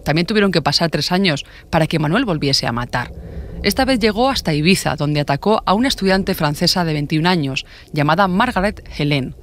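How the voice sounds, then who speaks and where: Male podcast narrator, Spain accent, slightly high voice, scripted true-crime storytelling. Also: lively intonation, fairly quick, fairly bright tone, a little hiss or hum. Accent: Spanish from Spain.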